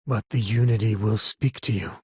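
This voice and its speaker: A man acting as a wry, warm-hearted space explorer and dad in a video game, with a raspy voice and a folksy, dry manner. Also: on the low side, steady tone, moderate pace, no room sound. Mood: earnest.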